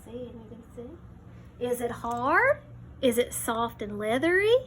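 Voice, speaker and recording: High-voiced woman reading to children. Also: very animated, measured pace, fairly bright tone. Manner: child-directed, explains simply, points things out. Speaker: teacher reading aloud to young children. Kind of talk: picture book read-aloud with explanatory asides.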